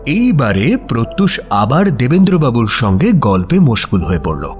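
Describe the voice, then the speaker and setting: A man acting as a detective's companion telling a mystery story. His mid-pitched voice moves in lively swings, expressive and suspenseful, with faint music underneath. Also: normal speed, lightly acted. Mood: observant, suspenseful. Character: male detective's companion narrating a mystery, observant and engaged. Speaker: story narrator. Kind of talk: dramatic scripted audio story narration.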